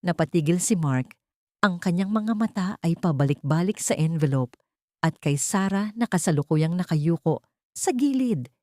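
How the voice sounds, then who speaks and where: A woman reading a dramatic audiobook story, voicing every character herself in one steady storytelling voice. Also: lowish voice, moderate pace, no room sound, clean studio sound. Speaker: audiobook narrator. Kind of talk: scripted dramatic narration.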